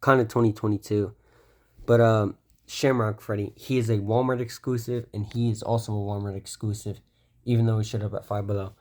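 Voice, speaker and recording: A man's voice: lowish voice, flat delivery, brisk, mellow. A young man casually showing off his toy collection, rambling with lots of ums and self-corrections, his voice a bit stuffy from a cold. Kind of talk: casual show-and-tell of a collection.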